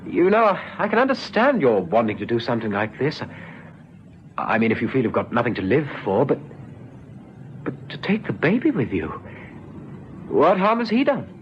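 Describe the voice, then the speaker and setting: An actor playing a gentle young policeman in a vintage radio drama, soothing, patient and a little hesitant. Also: mid-range voice, huge pitch swings, moderate pace, long pauses. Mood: gently persuading. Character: young policeman, calm, gentle, friendly negotiator. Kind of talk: 1970s radio drama acting. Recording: dull vintage radio recording with noise.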